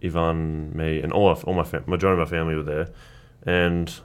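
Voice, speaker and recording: Young Australian guy, Croatian first language, low and fast, easygoing storyteller. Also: clear, resonant tone. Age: young adult. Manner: relaxed, rambling storytelling with restarts. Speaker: guest athlete. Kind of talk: casual chat.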